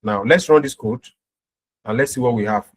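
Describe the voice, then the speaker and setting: A man's voice: mid-range voice, moderate pace. A man giving an informal step-by-step programming tutorial as an instructor, often checking in with short tag words as he explains.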